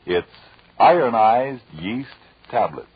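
Husky 1930s radio announcer man. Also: medium pitch, animated, slow pace, no echo. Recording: muffled old 1930s radio recording.

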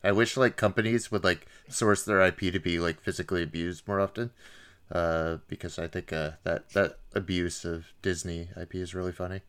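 A man's voice: deep voice, fairly even intonation, a little fast, fairly bright tone, smooth. A man chatting casually as a panelist on a movie podcast. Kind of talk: casual chat.

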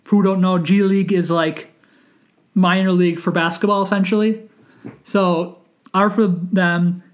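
A man's voice: sits high, flat delivery, measured pace. A man in his twenties hosting a podcast, talking in a rambling, earnest way with many restarts. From Wisconsin, United States. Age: 20s. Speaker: podcast host. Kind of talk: casual chat.

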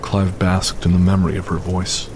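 Deep-voiced man narrating an audio drama. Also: completely flat delivery, moderate pace, noisy background. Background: music.

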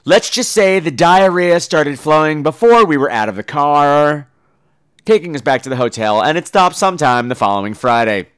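A man's voice: on the high side, expressive, normal speed, smooth. A man hosting a podcast in a casual comedic monologue, with a campy, theatrical and emphatic delivery. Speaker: podcast host.

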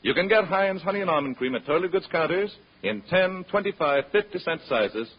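A man's voice: sits high, expressive, brisk, husky. An American man announcing scripted advertising in the style of vintage radio. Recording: dull vintage radio recording.

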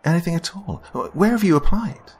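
Man voicing an exasperated young woman, raised pitch. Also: expressive, fairly quick, darkish tone, soft background music. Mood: angry. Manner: blunt, pleading, swearing. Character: angry, caring, exasperated young woman on the phone.

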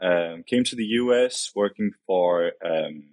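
A man in his late twenties with a Danish accent, chatting casually and haltingly as a modest interview guest. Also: low voice, darkish tone, dry recording. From Denmark, grew up in Copenhagen. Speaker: podcast guest, company vice president.

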